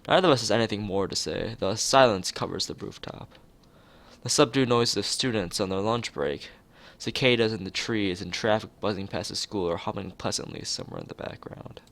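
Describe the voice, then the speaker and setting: A man reading a story game aloud in an expressive narrating style, occasionally stumbling over words. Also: lowish voice, fairly quick, gravelly. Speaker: let's player reading a visual novel aloud.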